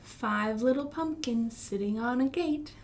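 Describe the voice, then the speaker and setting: An American woman hosting an interactive storytime for young children, asking questions and speaking in an expressive, encouraging way. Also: fairly high pitch, a little slow, slight background noise. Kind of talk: interactive children's storytime with songs and finger rhymes. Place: live online stream for a library.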